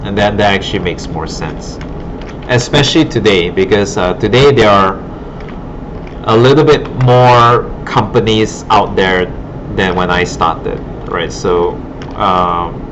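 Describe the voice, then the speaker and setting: A man with a low voice gives informal career advice in a rambling, encouraging way, often checking that he is followed. The recording is muffled, with obvious noise behind him in a classroom. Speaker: art instructor and former art director. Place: classroom at an art academy. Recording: muffled audio with clear background noise.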